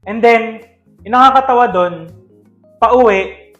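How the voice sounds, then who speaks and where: Man, Filipino accent, high pitch, casual podcast storytelling, English mixed in, background music. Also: a little slow, a bit bright, lo-fi sound. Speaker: podcast co-host.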